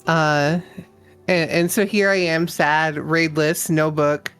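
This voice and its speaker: Slow, joking guest over faint music. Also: medium pitch, level tone, a bit bright, smooth, dry recording. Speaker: stream guest. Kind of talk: casual stream chat.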